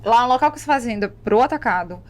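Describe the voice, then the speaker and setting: Assertive Brazilian woman, slightly high voice. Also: lively intonation, racing. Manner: assertive, interjects with rhetorical questions. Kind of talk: casual podcast conversation.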